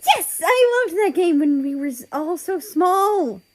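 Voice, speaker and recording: A woman voicing an excited, playful young male cat in a story, exclaiming eagerly. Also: very high voice, unhurried, voice pushed up, exaggerated voice.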